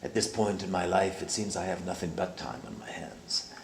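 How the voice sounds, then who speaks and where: A man acting as a weary old cardinal with a very low, creaky voice. He speaks formally and piously, measured and guarded, as in a period mystery drama. The room echoes around him. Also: fairly even intonation. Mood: guarded, solemn. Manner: formal, measured, hesitant, sanctimonious. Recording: echoey room with faint noise.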